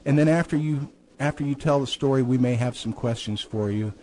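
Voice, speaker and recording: A man's voice: medium pitch, fairly quick, dark tone. A man hosting a radio talk show, who introduces his guest earnestly and stumbles and repeats himself when he speaks freely. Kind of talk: radio host introduction and chat.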